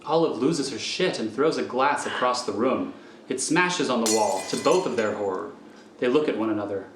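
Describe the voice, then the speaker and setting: Echoey male narrator, measured delivery. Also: medium pitch, lively intonation. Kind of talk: scripted narration of stage directions. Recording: echoey room, faint noise.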